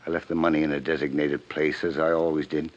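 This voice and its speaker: Casting: guilt-ridden upper-class older gentleman, very low bass, formal and stiff; vintage radio drama, muffled sound. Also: little pitch movement. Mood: resigned. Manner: formal, measured diction. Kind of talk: 1950s radio drama acting.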